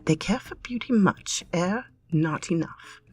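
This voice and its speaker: A woman voicing a young bride who speaks in a halting, breathy way as she protests in a language she barely knows. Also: lowish voice, expressive, distant mic, faint music behind, pitched above the performer's own voice. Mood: protesting. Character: young bride struggling with a foreign tongue.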